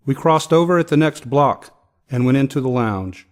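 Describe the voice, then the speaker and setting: A man's voice: mid-range voice, animated, normal speed. A man reading a narrative poem aloud, line by line. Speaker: poetry reader. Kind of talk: poetry recitation.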